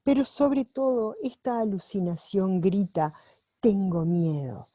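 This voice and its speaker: A woman reads aloud at home in a slow, warm, mellow voice. She translates a book as she goes, so she moves carefully and corrects herself now and then. The sound is close and dry. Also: medium pitch, no room sound. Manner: unhurried and careful, with pauses and self-corrections while reading. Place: at home, with her dog nearby.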